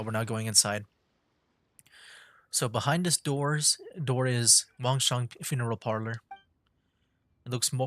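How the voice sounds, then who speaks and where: A man's voice: medium pitch, a bit bright. A man doing casual, rambling livestream commentary on a game, sometimes reading text aloud. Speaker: livestream gamer, VTuber. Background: game music.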